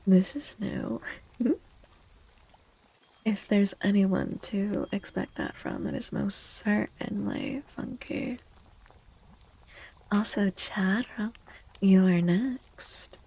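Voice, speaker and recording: A soft-spoken woman chatting in a gentle, playful ASMR style as a streamer, often dropping to a whisper. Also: mid-range voice, steady tone, slow, vocal fry, long pauses, breathy edge, low-quality recording. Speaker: live streamer reading tarot cards for chat. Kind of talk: soft-spoken ASMR chat, greeting viewers.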